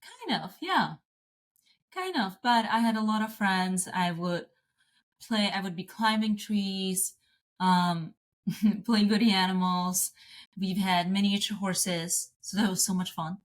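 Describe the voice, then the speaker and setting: A woman's voice: mid-range voice, slow. A woman, talking casually as an interview guest, restarting sentences and laughing often. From Slovakia.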